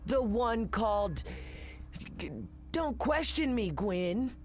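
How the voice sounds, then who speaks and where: A brash teenage alien boy captain from an animated game. His pitch swings widely as he banters with cocky confidence. Music plays behind the voice. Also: slow pace, exaggerated voice. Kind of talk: animated video game acting.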